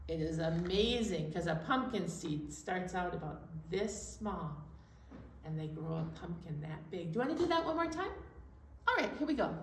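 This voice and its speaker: A woman's voice: lowish voice, expressive, normal speed. A woman leading a children's storytime in simple, encouraging language, talking young listeners through hand motions and sing-along songs. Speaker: children's storytime leader at a library. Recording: roomy, distant mic, faint background noise.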